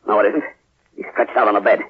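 An actor playing a bold, commanding boss hunting the undead in an old-time radio horror drama, terse and unflinching. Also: male voice, lowish voice, fairly even intonation, fast talker, slightly rough. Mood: tense, controlled. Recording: muffled phone-like vintage radio recording.

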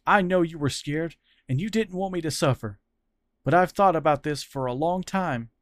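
A man's voice: a little high, normal speed, no echo, pitched above the performer's own voice, lightly acted. A man voicing a tender young man who earnestly confesses his love, speaking in a raised, emotional voice.

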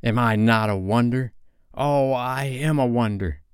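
A man's voice: lowish voice, animated, takes it slowly, fairly bright tone, smooth, no room sound, clean studio sound. Man playing a cocky boy with swaggering captain's drawl. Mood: conceited. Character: cocky boy imitating a commanding pirate captain.

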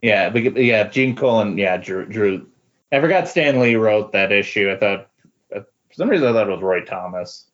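A man chatting casually as a podcast host, halting and repeating words as he goes. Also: fairly low pitch, expressive. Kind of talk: casual chat, reacting to co-host. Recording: dull, lo-fi voice recording.

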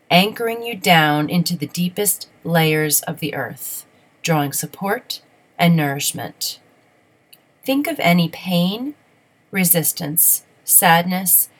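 A woman leading a guided meditation in a soothing, measured, instructional way. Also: fairly low pitch.

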